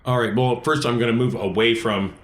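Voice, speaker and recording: A man playing a tabletop game, chatting casually in a joking, halting way with a roomy echo around him. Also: medium pitch, steady tone. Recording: roomy echo, faint music behind.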